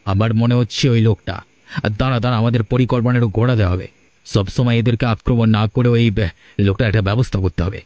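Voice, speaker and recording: A man's voice: mid-range voice, fairly even intonation, quick pace, darkish tone, dry recording, dull audio, lightly acted. A man voicing a crude, envious, bossy gang boss in a village folk-tale cartoon. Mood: frustrated, scheming.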